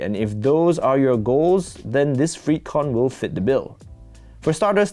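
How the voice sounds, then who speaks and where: A man's voice: mid-range voice, warm tone. A man narrating a scripted product review as the host, in a conversational, lightly wry manner.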